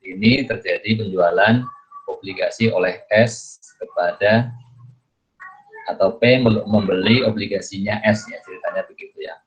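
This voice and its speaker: A deep-voiced man explains material like a lecturer. He moves haltingly, repeating himself and tagging phrases with small checks. The audio is muffled and lo-fi. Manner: halting, repetitive, punctuates phrases with a checking tag. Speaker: accounting lecturer.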